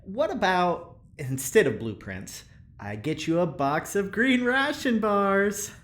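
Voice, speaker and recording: A man's voice: high voice, very animated, slow pace, a bit bright, heavily acted. A man playing a friendly ship engineer who trades favors, cajoling and hesitant, in an audio drama. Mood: playful, coaxing. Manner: cajoling, hesitant, eager to please.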